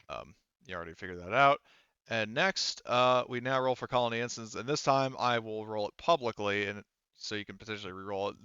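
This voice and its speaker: A man casually running a tabletop roleplaying game as game master, halting and thinking aloud as he goes. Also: on the low side, pushed and bright, smooth, no echo, highs cut off.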